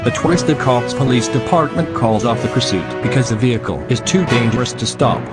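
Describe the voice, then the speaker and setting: A man as a news anchor over loud music. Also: mid-range voice, animated, a little fast, natural voice. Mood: formal. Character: male TV news anchor giving emergency updates. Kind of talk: scripted breaking news narration. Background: loud music bed.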